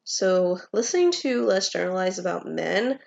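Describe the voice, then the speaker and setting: A woman's voice: fairly low pitch, expressive, moderate pace, creaky, a little mellow, highs cut off. A woman telling a wry personal anecdote.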